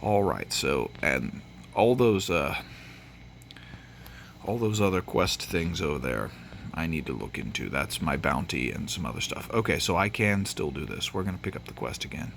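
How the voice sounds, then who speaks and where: Sniffly Tennessee man with gravelly voice. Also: low-pitched, sing-song, moderate pace, fairly bright tone, a little hiss or hum. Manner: rambling, with frequent fillers and restarts. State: sniffly from allergies. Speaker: gaming channel host. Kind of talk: casual gameplay commentary.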